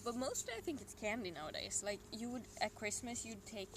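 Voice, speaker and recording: Casting: young adult with a Swedish accent, mid-pitched voice, meandering solo stream chatter with whispered asides. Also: animated, brisk. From Sweden. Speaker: livestreamer. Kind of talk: casual solo stream chat. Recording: noisy room ambience.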